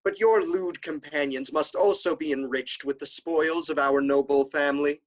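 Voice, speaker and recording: A man's voice: fairly high pitch, fairly even intonation, normal speed, natural voice. An actor playing a stern, honor-obsessed nobleman brother in a classical stage comedy, declaiming in a haughty, indignant way.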